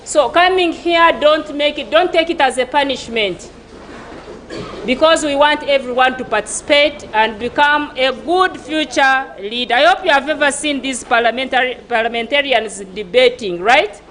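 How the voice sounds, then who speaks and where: High-voiced woman teacher encouraging pupils. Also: normal speed, fairly bright tone, a little hiss or hum, poor audio. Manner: encouraging, asks pupils for replies. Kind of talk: addressing pupils at a school debate. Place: school assembly.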